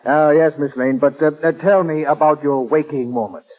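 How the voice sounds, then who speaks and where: A man's voice: fairly high pitch, fairly even intonation, normal speed, soft background music, lightly acted. Flustered police official male actor, stiff and sputtering, 1930s radio serial, narrow-band recording. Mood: exasperated. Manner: hesitant, sputtering when pressed. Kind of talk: 1930s radio drama acting.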